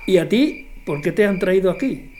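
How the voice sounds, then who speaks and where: A woman's voice: fairly low pitch, animated, normal speed, mellow, slight background noise, close to an everyday voice. An actress playing a motherly, defiant older Republican woman held prisoner in a radio drama. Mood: gentle.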